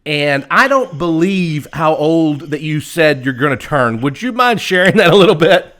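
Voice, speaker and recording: A man in his forties hosts a casual interview. His voice sits a little high, and his questions come out eager, with frequent restarts. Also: lively intonation, normal speed, a bit bright. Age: 40s. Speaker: host, memory trainer.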